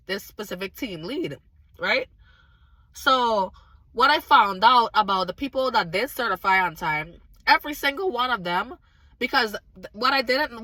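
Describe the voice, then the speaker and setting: A woman with a raspy, slightly hoarse voice, recovering from being sick, talks earnestly straight to her viewers. Her tone is bright and forceful, and the sound is close and dry with no room echo. She tells her testimony in a casual, emphatic way. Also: medium pitch. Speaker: vlogger sharing a faith testimony.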